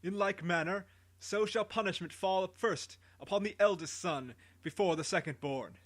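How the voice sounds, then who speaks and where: A man doing a booming tyrant king. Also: moderate pace, a bit bright. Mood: commanding. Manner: booming, commanding.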